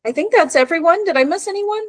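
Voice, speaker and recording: A woman facilitating a meeting, politely and briskly calling on people by name. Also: very high-pitched, animated, no echo, clean studio sound. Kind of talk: running meeting introductions.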